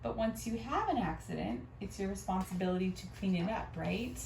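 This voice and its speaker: A woman reading a storybook aloud to children in an expressive, teacherly way. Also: mid-range voice, brisk, gravelly. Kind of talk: animated read-aloud with questions to children. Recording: roomy, distant mic, faint noise.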